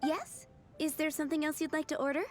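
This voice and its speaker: A woman's voice: high-pitched, flat delivery, fast, soft background music, natural voice. A woman voicing a playful, polite waitress. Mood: friendly. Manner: polite, warm service tone. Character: friendly young female waitress, playful. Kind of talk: video game dialogue.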